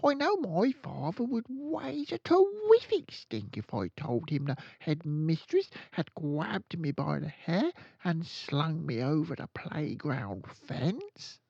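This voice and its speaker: A young schoolgirl in a storybook, put on by a man, high and creaky. She sounds wide-eyed and indignant, drawing out her words with exaggerated swoops. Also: big pitch swings, slow, hardly any pauses, a little mellow, dry recording, muffled recording, heavily acted.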